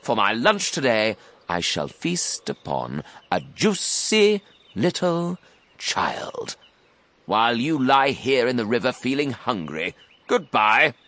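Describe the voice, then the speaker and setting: A man voicing a huge, boastful, scheming crocodile in a children's story, savouring his words in a gloating, drawn-out way. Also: mid-range voice, big pitch swings, fairly bright tone, pitched above the performer's own voice. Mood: sly, gloating. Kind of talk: children's storybook character reading.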